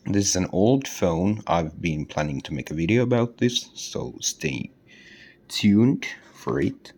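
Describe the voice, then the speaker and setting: A man with a low, gravelly voice hosts a casual DIY demonstration. He talks slowly and hesitantly, searching for words, with a lively swing in his pitch. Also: slight background noise. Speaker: DIY video host.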